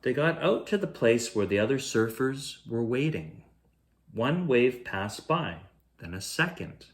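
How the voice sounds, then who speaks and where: A man reading a children's picture book aloud as a bedtime storyteller, in a measured, expressive way. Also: mid-range voice. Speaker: bedtime story reader, host.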